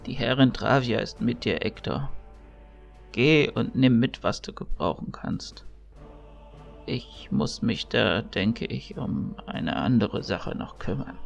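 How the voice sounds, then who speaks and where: A man playing a kindly old priest and mentor in an audio drama, speaking in a measured, ceremonial, gravelly way. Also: mid-range voice, highs cut off. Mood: caring, urgent. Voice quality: creaky, gravelly. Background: music.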